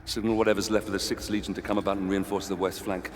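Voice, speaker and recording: Guy playing a grim roman general in a video game, deep commanding voice, war noise behind him. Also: little pitch movement, fast, close to an everyday voice. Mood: resolute command. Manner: commanding, grave, forceful oratory. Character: stern, battle-hardened Roman general rallying his troops. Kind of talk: dramatic video game acting.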